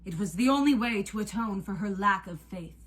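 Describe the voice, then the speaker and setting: A woman voicing an imperious male god of love in a storybook, drawn-out, menacing and declamatory. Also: medium pitch, animated, breathy edge, voice pushed up. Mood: taunting disappointment.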